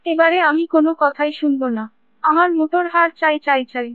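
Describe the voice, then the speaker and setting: A woman's voice: sits high, fairly even intonation, a little fast, no echo, narrow, tinny audio, close to an everyday voice. A woman voicing a demanding village wife in a cartoon, with a nagging, coaxing manner. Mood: wheedling, demanding. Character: demanding, wheedling village wife of fish seller. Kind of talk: Bengali cartoon story acting.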